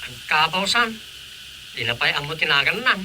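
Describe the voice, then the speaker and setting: A man's voice: on the high side, sing-song, moderate pace, bright, forceful tone, slight background noise, heavily acted, poor audio. A man playing an exasperated comic village councilor with an Ilocano accent, full of questions and exclamations, in a radio comedy-drama. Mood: alarmed.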